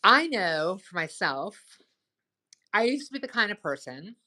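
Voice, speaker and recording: A woman hosting a casual advice chat. She talks loudly and with enthusiasm, and fills her speech with "like" and "right?". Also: medium pitch, sing-song, a little slow. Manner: loud, boisterous, enthusiastic; lots of "like" and "right?". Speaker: host.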